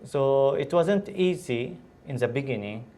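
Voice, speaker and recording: A man's voice: medium pitch, expressive, unhurried, mellow. A man from Syria, a physician, answering interview questions in English with many fillers and restarts. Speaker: guest, physician.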